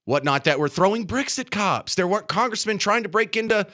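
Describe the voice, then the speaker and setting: An American man hosting talk radio with a high-pitched, forceful voice that swings widely in pitch. He delivers an indignant political monologue, hammering and repeating his key phrases into a studio mic. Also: animated, a little fast. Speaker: radio talk show host.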